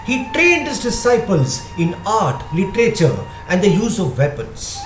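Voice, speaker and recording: A man narrating a scripted moral story in a measured, carefully enunciated storybook manner. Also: high-pitched, sing-song, over music. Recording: echoey room with faint noise.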